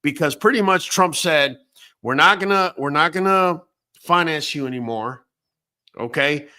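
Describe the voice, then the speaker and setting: A man's voice: a little high, unhurried, bright, forceful tone. Blunt, profane man ranting. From Venezuela. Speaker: host. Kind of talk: late-night casual talk.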